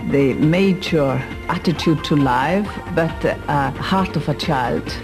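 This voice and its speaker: A speaker comments in a documentary interview with a mid-range voice, pausing often on uh fillers. Music plays underneath. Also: mid-range voice. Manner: pauses with frequent uh fillers.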